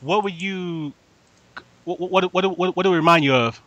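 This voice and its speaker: A man's voice: high voice, normal speed, fairly bright tone, dry recording, dull audio. A man chatting casually on an anime podcast in a blunt, slangy way, often repeating words as he goes. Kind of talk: casual chat.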